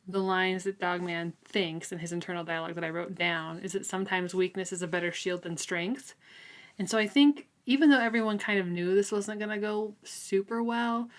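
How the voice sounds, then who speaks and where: A woman talking casually and conversationally about a book as a book club co-host. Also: mid-range voice. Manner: informal, conversational.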